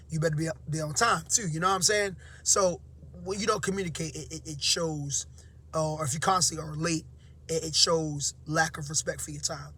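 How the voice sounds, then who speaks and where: A man who teaches, giving casual motivational advice to his viewers, slightly hoarse and half-awake, with frequent fillers and tags like "right?". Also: fairly high pitch, bright, forceful tone. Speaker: teacher and vlog host. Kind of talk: casual motivational talk to camera.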